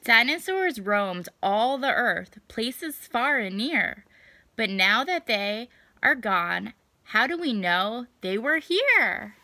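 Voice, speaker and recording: A young adult woman reading a picture book aloud to children, expressive and deliberate, stretching words for emphasis. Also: fairly high pitch, no echo. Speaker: children's story time reader. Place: small indoor room at home.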